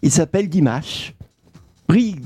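A man's voice: on the high side, lively intonation, unhurried, dark tone, slightly rough, dry recording. A man hosting a radio interview, asking questions in a friendly, chatty way. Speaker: radio host.

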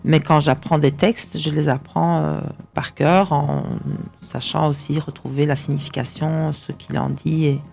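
A woman's voice: sits low, fairly even intonation, measured pace, gravelly, darkish tone. Chatty young woman singer. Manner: chatty, hesitant with many fillers. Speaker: singer guest. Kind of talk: casual interview chat.

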